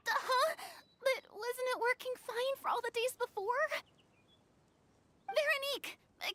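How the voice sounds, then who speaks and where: Bossy, very high-pitched film director. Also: female voice, bright, forceful tone, slight background noise, exaggerated voice. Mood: frustrated. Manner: commanding, theatrical, emphatic. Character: bossy, energetic, exasperated young female film director. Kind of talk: video game voice acting.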